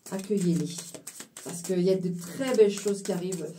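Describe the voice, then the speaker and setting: A woman with a low, mellow voice gives a rambling tarot reading, stopping and restarting her sentences. She sounds a bit far from the microphone, with some room around her voice. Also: slight background noise. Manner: halting, full of fillers and restarts, mixes in English phrases. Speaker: tarot card reader. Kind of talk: casual spiritual card reading to viewers.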